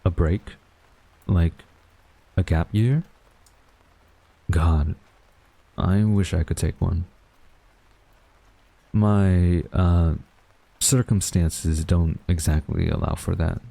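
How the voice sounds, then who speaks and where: A man's voice: low voice, fairly even intonation, creaky, warm tone, full character voice, rough recording. A man acting a young university student in a romantic audio roleplay, a defensive, secretly smitten rival who grumbles and gets flustered. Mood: weary, reluctant. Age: young adult. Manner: flustered, grumbling, halting denials.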